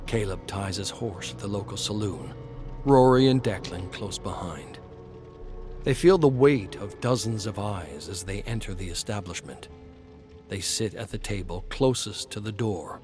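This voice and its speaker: Male narrator, dramatic western storytelling, unhurried pace, terse, brooding, soft music underneath. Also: on the low side.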